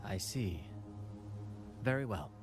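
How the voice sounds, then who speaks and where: An actor playing a courteous, measured man of authority in a video game, speaking formally. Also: lowish voice, animated, over loud music. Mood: grave, grateful. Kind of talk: video game dialogue acting.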